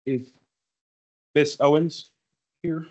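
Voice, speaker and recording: A man's voice: fairly high pitch, steady tone, drawn-out words, a little mellow, slightly rough. A man chairing a public hearing, calling speakers with brief, polite procedural prompts. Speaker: board chair.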